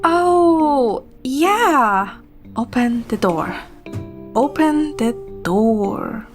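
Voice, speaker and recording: High-voiced, tipsy, stubborn young woman played by a woman. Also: big pitch swings, very slow, over music, exaggerated voice. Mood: dazed, tipsy. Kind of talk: romantic audio drama acting with first-person narration.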